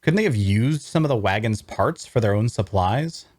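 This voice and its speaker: A man playing a brooding adventurer, narrating his grim journey in a measured, deliberate way at a scripted table read. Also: fairly low pitch, animated, a little mellow, dry recording, studio quality.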